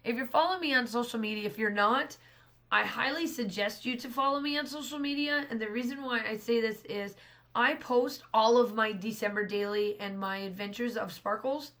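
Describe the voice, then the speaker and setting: Hoarse woman, thirties, rambling crafting voice-over, slightly high pitch. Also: moderate pace, a bit bright. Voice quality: rambling, restarts often. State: hoarse, scratchy voice. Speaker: scrapbooking channel host. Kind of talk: casual voice-over narration of a craft process.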